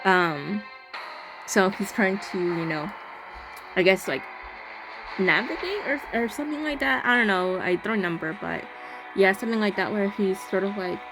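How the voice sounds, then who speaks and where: A woman's voice: medium pitch, lively intonation, normal speed, rough recording. A woman hosting a game playthrough, reading narration aloud and adding casual commentary. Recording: voice over loud music. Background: game music.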